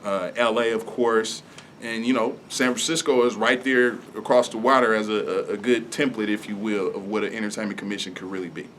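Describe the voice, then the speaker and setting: A man's voice: fairly low pitch, fairly even intonation, brisk, fairly bright tone, a little hiss or hum. A man presenting a proposal at a city hall community meeting, speaking conversationally with frequent fillers.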